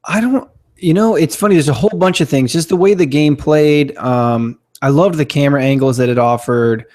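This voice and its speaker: A man's voice: fairly high pitch, normal speed, darkish tone. A man in his thirties from Florida, co-hosting a podcast and reminiscing in a chatty, casual way. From Florida, USA. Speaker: podcast co-host. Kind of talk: casual chat.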